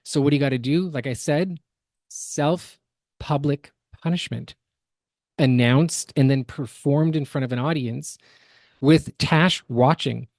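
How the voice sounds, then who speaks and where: Very deep-voiced woman giving commentary. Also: unhurried, darkish tone, no echo. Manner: analytical, critical, explanatory. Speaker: podcast co-host. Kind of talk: casual reaction commentary.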